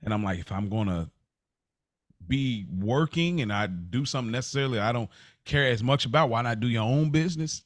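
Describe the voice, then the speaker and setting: A man's voice: medium pitch, expressive, brisk, no echo. An American man co-hosting a podcast in casual chat, with a laid-back, slangy way of talking. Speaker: podcast co-host.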